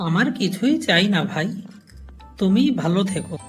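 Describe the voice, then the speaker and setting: Kindly young wayfarer for a cartoon, male actor, pitched high, music behind. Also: lively intonation, normal speed. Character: helpful, selfless young male traveller. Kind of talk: cartoon voice acting.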